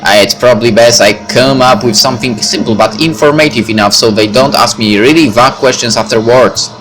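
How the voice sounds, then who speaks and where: A man's voice: mid-range voice, a little fast, rough recording. A man reading a visual novel aloud as narrator, with casual asides. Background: background music.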